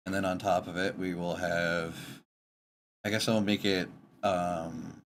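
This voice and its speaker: A man's voice: sits low, level tone, unhurried, a bit bright. A man livestreaming in a casual chat, mumbling and thinking aloud to himself as he works. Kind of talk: casual chat while drawing on stream. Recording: clear background noise.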